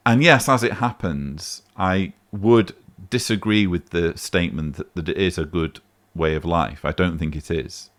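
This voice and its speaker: Deep-voiced emphatic man. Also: unhurried, a bit bright. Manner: emphatic, argumentative, rising sharply on key points. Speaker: vlog host answering a voicemail. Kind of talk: casual spoken commentary.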